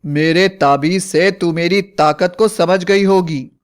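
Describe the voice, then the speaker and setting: A man voicing a stern hero of an animated village horror tale, firm and commanding. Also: sits high, takes it slowly, clean tone. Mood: stern, threatening. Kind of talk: animated Hindi horror fairy tale voice acting.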